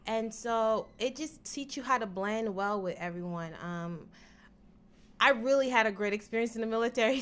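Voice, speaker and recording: A woman's voice: fairly high pitch, fairly bright tone. A woman, answering interview questions in a studio in an easygoing, reflective way. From Jamaica. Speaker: interview guest.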